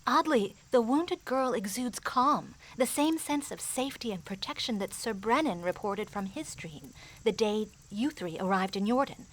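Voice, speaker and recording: A woman playing a grave mystic advisor in a fantasy audio drama, speaking formally, in an earnest and measured way. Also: a little high, animated, poor audio.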